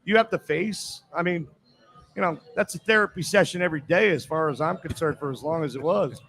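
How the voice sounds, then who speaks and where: Male sports talk host, high-pitched, assertive, opinionated, interjecting. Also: a little fast, fairly bright tone.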